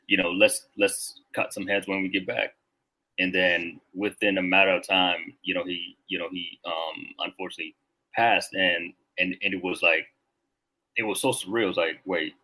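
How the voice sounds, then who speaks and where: Male guest in his thirties from Rwanda; deep, husky voice, easygoing and reflective. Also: fairly even intonation, moderate pace, sharp tone, poor audio. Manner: reflective, loose, many filler words. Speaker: guest, Marine veteran. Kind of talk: casual podcast conversation.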